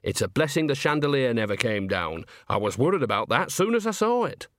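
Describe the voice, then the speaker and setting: A man's voice: mid-range voice, very animated, fairly quick, a bit bright, no room sound, studio quality. A man voicing a chatty, homely, cheeky old woman witch in an audiobook, folksy and rambling. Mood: chatty, sly. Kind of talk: audiobook character acting.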